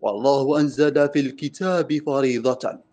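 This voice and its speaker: A man's voice: medium pitch, steady tone, normal speed, warm tone. A man with an Algerian Arabic accent calling in to a radio show for the first time, hesitant, then reciting a classical poem. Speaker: caller. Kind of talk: phone call, poem recitation.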